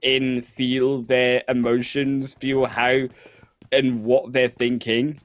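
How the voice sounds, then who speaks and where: A man calling an esports match play-by-play, building excitement around the action. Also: mid-range voice, takes it slowly. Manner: energetic, builds excitement on kills. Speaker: esports caster.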